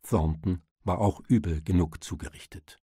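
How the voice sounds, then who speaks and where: A male audiobook narrator reading literary prose in a lowish, dark voice. It has a husky grain, and the recording is dry and close. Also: normal speed, no echo.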